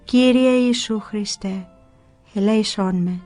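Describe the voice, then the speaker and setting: A woman's voice: medium pitch, soft background music, lightly acted. A woman voicing a tormented monk who cries out a repeated, pleading prayer in a dramatized story. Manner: slow, repeated, loud pleading.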